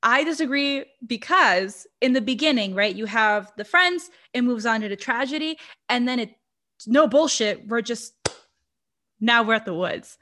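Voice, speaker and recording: A woman's voice: fairly high pitch, expressive, moderate pace, pushed and bright. A woman from Brazil, a podcast co-host, chatting about a horror movie with lots of fillers and laughter. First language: Portuguese. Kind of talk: casual movie review chat.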